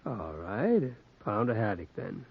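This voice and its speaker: An actor playing a mild, unsuspecting ordinary husband in a 1940s radio drama, speaking in a casual, amiable way. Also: male voice, fairly low pitch, big pitch swings, measured pace, breathy edge, exaggerated voice. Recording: muffled 1940s radio recording, faint music.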